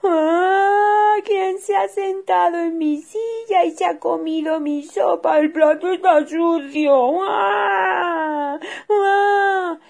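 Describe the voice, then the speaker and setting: A woman voicing a little bear cub who whines and cries in shrill wails. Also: expressive, unhurried, gravelly, few pauses, darkish tone, full character voice. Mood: wailing. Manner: wailing, shrill. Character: small bear cub, whiny and tearful.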